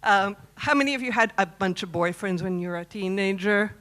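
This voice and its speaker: A woman's voice: medium pitch, fairly quick, a bit bright. A woman in her fifties from Canada, telling a personal story at a conference and often asking the audience questions. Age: 50s. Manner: chatty, asks the audience questions. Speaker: conference speaker. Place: conference stage.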